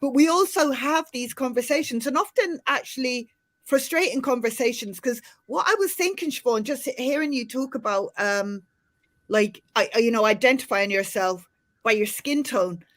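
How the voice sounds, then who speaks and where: Chatty middle-aged Irish woman, higher voice. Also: normal speed. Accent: Irish, softened by years in the UK. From Ireland. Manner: chatty, animated, many restarts. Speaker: host. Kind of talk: casual panel chat. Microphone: video call.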